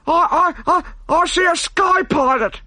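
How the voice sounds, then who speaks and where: A man voicing a terrified pirate lookout who stammers and shouts down from the crow's nest. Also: slow pace, dry recording, studio quality, full character voice. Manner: shrill, shouting down from afar.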